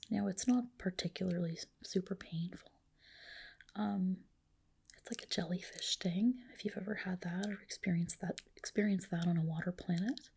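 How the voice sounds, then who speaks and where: A woman whispering a soothing ASMR roleplay as a reassuring clinician, in a soft, breathy voice. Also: mid-range voice.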